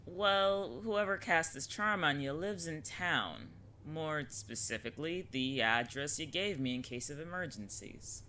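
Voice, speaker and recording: A woman's voice: low-pitched, lively intonation, unhurried, hardly any pauses, pushed and bright, a little hiss or hum, full character voice, rough recording. A woman playing a wry, teasing older witch mentor in an audio drama, talking in a casual, slangy way. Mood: gentle, serious. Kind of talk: scripted audio drama acting.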